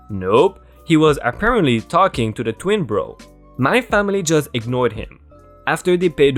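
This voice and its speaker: Man reading stories with lively pitch. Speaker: story narrator. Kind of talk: reading Reddit stories aloud.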